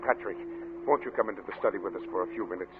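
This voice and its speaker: An actor playing an earnest, worried laboratory assistant in an imploring 1940s radio manner. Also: male voice, sits low, racing, natural voice. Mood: worried, coaxing. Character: earnest, worried male laboratory assistant pleading with his colleague. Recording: tinny, faintly noisy old radio recording.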